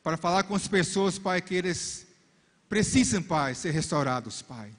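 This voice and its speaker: A middle-aged man whose first language is English preaches in careful Portuguese, his voice on the higher side for a man. He prays fervently into a handheld mic, repeating "Father" between phrases. Long reverb from a big arena hangs on every line. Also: expressive, normal speed. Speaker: couple's coach. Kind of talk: preaching and prayer at a church conference. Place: large arena stage with a crowd. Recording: long reverb of a large hall.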